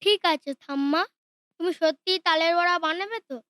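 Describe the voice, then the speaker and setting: A woman's voice: extremely high pitch, moderate pace, pushed and bright, no room sound. A woman voicing a sweet, secretive young boy in a cartoon who talks hesitantly. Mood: childlike. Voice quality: childlike, hesitant.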